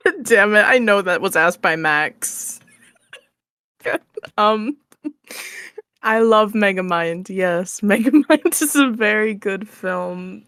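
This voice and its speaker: A woman's voice: medium pitch, lively intonation, takes it slowly, long pauses, a bit bright, clean tone, dry recording. A woman speaking casually as a podcast guest, with a playful, giggly way of talking. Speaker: podcast guest, ASMR roleplay creator. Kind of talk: casual chat.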